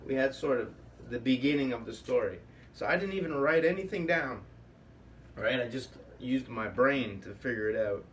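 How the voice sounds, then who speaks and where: A man's voice: medium pitch, lively intonation, normal speed. A man hosting a workshop in a casual, improvised way, rambling as he thinks aloud. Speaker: puppetry workshop host. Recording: distant mic with faint room noise.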